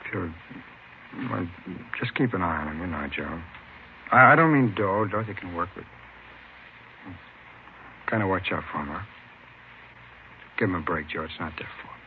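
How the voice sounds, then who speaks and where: An actor playing a contrite small-time crook in an old radio drama, halting and pleading. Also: male voice, low voice, animated. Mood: remorseful, pleading. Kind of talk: 1950s radio drama acting. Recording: muffled, hissy old radio recording.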